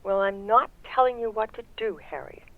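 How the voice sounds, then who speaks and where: A woman playing a sweet, genteel mother who gently advises her grown daughter over a telephone filter, in old-time radio comedy style. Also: medium pitch, lively intonation, moderate pace. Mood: coaxing. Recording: thin, phone-like narrow sound.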